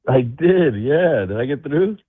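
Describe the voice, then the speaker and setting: A man's voice: mid-range voice, animated, measured pace, breathy edge, no room sound. Tinny phone-line man, slangy caller. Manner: slangy, chummy. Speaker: caller to a live stream. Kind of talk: casual phone chat. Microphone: telephone call.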